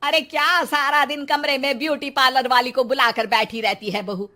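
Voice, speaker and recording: A woman's voice: high-pitched, fairly even intonation, moderate pace, sharp tone. A woman voicing a thrifty older mother-in-law who nags and scolds in a cartoon story. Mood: nagging. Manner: nagging.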